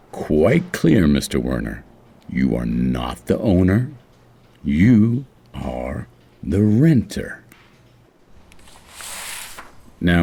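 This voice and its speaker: An actor playing a smooth, condescending crooked banker in an audio drama, with drawn-out, falsely courteous speech and a very deep, gravelly voice. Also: male voice, warm tone, full character voice. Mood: firm, dismissive.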